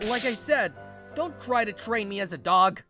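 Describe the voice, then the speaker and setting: A woman's voice: medium pitch, expressive, moderate pace, sharp tone, faint music behind. A woman voicing a stubbornly guarded, exasperated young male office recruit in a manga dub, narrating and speaking his lines defensively. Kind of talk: dramatized first-person story narration and dialogue.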